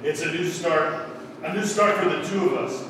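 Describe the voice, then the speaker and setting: A man's voice: a little high, normal speed, close to an everyday voice. A man playing a determined, greedy fugitive lover in 1940s radio drama, insistent and persuasive. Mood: coaxing. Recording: echoey hall, distant mic, clear background noise.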